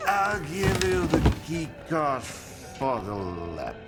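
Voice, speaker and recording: An actor playing an eccentric, boozy ex-scientist in a video game, sardonic and philosophical, drawling and musing with frequent hums. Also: male voice, fairly high pitch, very animated, slow pace, loud music behind the voice, heavily acted. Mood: wry, grudging. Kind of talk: theatrical video game dialogue.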